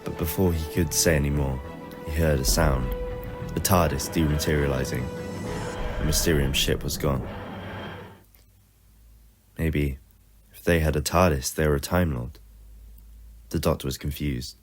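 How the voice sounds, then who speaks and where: Very deep-voiced man narrating a story over music. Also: fairly even intonation, measured pace, pauses often. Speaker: narrator of a fan audio story.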